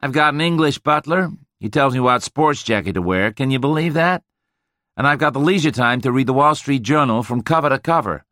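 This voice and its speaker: A man voicing a brash, boastful veteran, loud and amazed at himself. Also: medium pitch, lively intonation, brisk, no echo, clean studio sound, pitched above the performer's own voice, natural voice. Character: brash, boastful American male Vietnam veteran.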